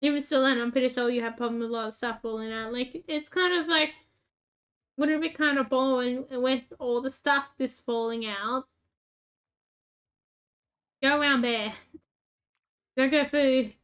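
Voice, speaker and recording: A woman's voice: high voice, steady tone, normal speed, pauses often, fairly bright tone, smooth. A woman with a rural Australian accent, chatting casually while playing a game, rambling with lots of fillers and joking about herself. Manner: rambling, filler-heavy, self-mocking. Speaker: gaming YouTuber. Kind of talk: casual gameplay chat.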